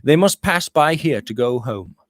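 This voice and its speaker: A man's voice: on the high side, animated, unhurried, no echo, pitched above the performer's own voice. Man reading as polite village innkeeper, dry sound. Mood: deferential. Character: polite, helpful village inn landlord.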